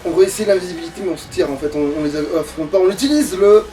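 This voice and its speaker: A man's voice: sits high, fast talker, warm tone, slight background noise. A man giving casual, crude, grumbling commentary as he plays a video game. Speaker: let's player playing a video game. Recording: distant mic, music behind.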